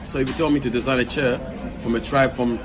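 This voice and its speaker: A man's voice: medium pitch, steady tone, fast, a crowd in the background. A man with an Ivorian accent explaining in a casual talk, repeating himself and often checking "you know what I'm saying". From Ivory Coast. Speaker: guest designer. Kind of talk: casual conversation.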